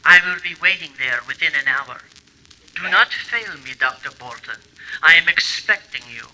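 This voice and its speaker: A woman playing a cold, scheming villainess delivering deliberate orders in an old-time radio drama. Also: low-pitched, lively intonation, sharp tone, heavily acted, rough recording. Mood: coldly imperious. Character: Madame Shade's recorded voice, cold scheming villainess. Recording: live stage recording, faint noise.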